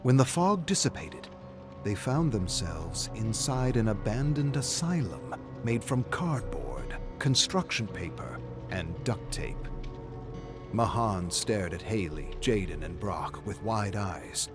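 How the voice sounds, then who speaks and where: A man reading dramatic scripted fiction as narrator, in a measured, suspenseful storytelling manner. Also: fairly low pitch, sing-song, over music.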